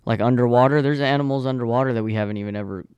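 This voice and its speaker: A man's voice: medium pitch, very fast, a little mellow, clear, resonant tone, no room sound, lo-fi sound. A man co-hosting a podcast, chatting casually in a laid-back, joking way. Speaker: podcast co-host. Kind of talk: casual chat.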